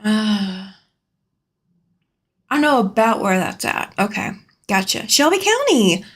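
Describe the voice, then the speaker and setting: A woman's voice: medium pitch, big pitch swings, slow, husky. A woman from Alabama, chatting casually on a live stream from her home sewing room as she rambles and answers viewers' comments aloud.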